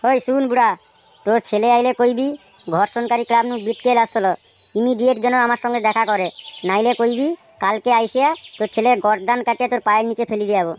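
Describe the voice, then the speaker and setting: A man's voice: very high-pitched, fairly even intonation, quick pace. A man voicing a bullying, short-tempered gangster in a comedy cartoon, crude and browbeating, with a rural West Bengal accent. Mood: threatening. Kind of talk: amateur comedy cartoon dubbing. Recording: faint background noise.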